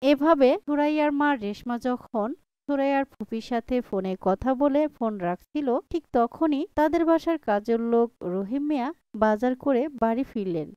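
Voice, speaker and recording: A woman's voice: high voice, expressive, normal speed, dark tone, clear, resonant tone, no room sound, highs cut off. A woman narrating a scripted cartoon story.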